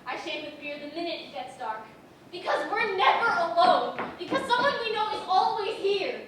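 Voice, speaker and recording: An actress playing a haunted, fearful young wife with a bitter, cutting delivery. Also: female voice, very high voice, expressive, moderate pace. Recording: very echoey, distant mic, clear background noise.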